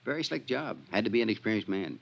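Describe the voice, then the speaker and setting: Big folksy insurance man, 1950s radio: American, emphatic, excitable, swooping low voice, dull old recording. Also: quick pace, gravelly. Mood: exasperated, emphatic. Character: big, folksy, talkative middle-aged male insurance man. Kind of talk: 1950s radio drama acting.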